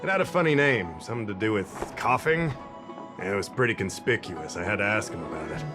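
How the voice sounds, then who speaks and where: Male actor, easygoing middle-aged officer, lowish voice, chatty and a bit scattered, game cutscene with music. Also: lively intonation, a little fast, natural voice. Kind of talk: game voice acting.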